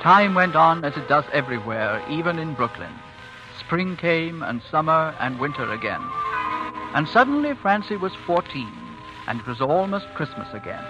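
A man's voice: fairly high pitch, sing-song, normal speed. A man narrating a 1940s radio drama as its host, in a solemn, literary way. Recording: dull 1940s radio broadcast. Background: music.

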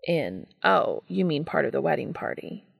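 A reserved young man with a quiet, dry wit, voiced by a woman reading a story. The tone sits low with a gravelly edge. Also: sing-song, normal speed, heavily acted. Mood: dry, wry.

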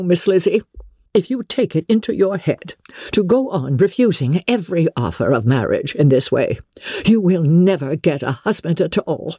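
A woman's voice: mid-range voice, mellow, breathy edge, no echo, clean studio sound, voice pushed up. A woman narrator voicing a fretful, melodramatic middle-aged mother who complains plaintively in a classic novel reading. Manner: doleful, wailing complaint.

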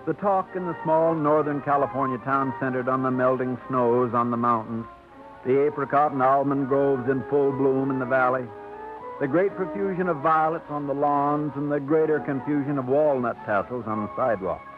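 A man giving measured, gently wry scripted narration for a radio drama. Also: medium pitch. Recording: tinny old radio broadcast over music.

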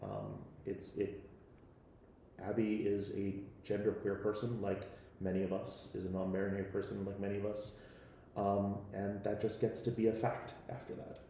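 A man speaking off the cuff on a panel, conversational and halting, often repeating himself. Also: lowish voice, flat delivery, mellow, slight background noise. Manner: halting, conversational, frequent repetition. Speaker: panelist from a podcast team. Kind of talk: unscripted panel discussion. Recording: very echoey room.

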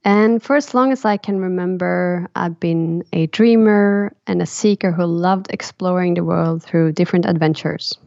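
A woman's voice: lowish voice, moderate pace, vocal fry, few pauses, darkish tone, dry recording, dull audio. A woman in her thirties from Sweden with a Swedish accent, thoughtfully telling her life story as a podcast co-host. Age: 30s. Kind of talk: personal storytelling in a podcast chat.

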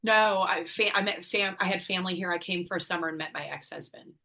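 A middle-aged woman from northern Illinois with a bright, forceful voice sitting a little low in pitch. She chats candidly as a podcast guest, laughing easily and swearing without a second thought. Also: quick pace. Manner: chatty, candid, laughs often, swears casually. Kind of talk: casual conversation.

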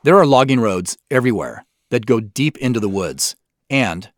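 A man's voice: mid-range voice, expressive, normal speed, dry recording, studio quality. A man hosting a scripted director's commentary on an audio drama as its creator.

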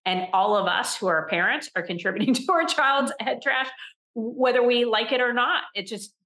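A middle-aged woman talking candidly as a guest on a podcast interview, animated and quick to laugh, often repeating words as she restarts a thought. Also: on the high side. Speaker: podcast guest, leadership coach. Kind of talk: conversational interview.

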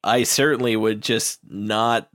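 A man's voice: on the low side, steady tone, slow, fairly bright tone, no room sound, clean studio sound. A man who is a regular player on a tabletop game podcast, wry and a little hesitant. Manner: wry, hesitant, uses fillers. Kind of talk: casual banter.

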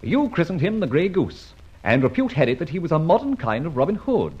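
An actor playing a conceited, teasing gentleman adventurer in a mid-century radio drama, suave and mock-innocent. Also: a little high, big pitch swings, brisk, breathy edge. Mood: breezy. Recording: dull old radio recording.

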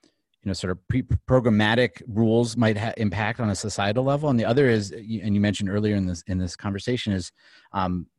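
A man's voice: on the low side, steady tone, brisk, a little mellow, no echo. A man hosting an interview who asks long, meandering questions full of restarts. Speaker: interview host.

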